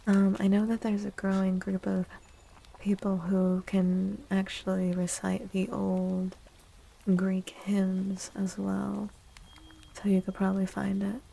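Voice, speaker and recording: Slow, breathy, soft-spoken woman. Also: medium pitch, monotone, low-quality recording. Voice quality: soft, breathy, often dropping into whisper. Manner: unhurried, gentle, pausing between short phrases. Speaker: livestream host. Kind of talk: soft-spoken ASMR livestream chat.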